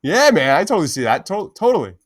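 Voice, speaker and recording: A man's voice: a little high, sing-song, fast talker, no echo, clean studio sound. A man co-hosting a podcast in an enthusiastic, casual chatty style, often tossing in "man". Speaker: podcast co-host.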